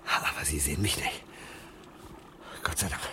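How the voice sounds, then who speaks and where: A man's voice: rumbling low, normal speed, heavy background noise. A man acting a hunted fireman on the run in a radio play, muttering agitatedly to himself, defiant, sarcastic and afraid.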